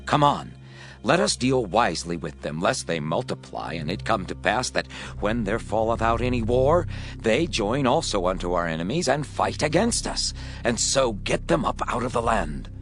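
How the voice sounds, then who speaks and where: A man's voice: on the low side, very animated, moderate pace, soft background music. A man playing the stern, commanding king of Egypt in a dramatized scripture reading, speaking in a proclamatory way.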